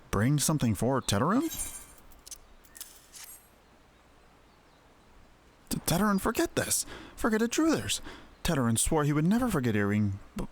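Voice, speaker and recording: A man voicing a muddled, grateful little beastman trader in a video game, stammering in broken third-person speech. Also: fairly high pitch. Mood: flustered, grateful. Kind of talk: voiced video game dialogue.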